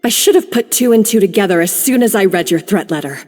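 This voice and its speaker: This is a cool, deliberate woman, played by an actress, laying out an accusation at a measured pace. Her voice carries a long reverb tail, with faint game music underneath. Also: medium pitch, expressive, a little mellow, close to an everyday voice. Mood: accusing. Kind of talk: video game voice acting.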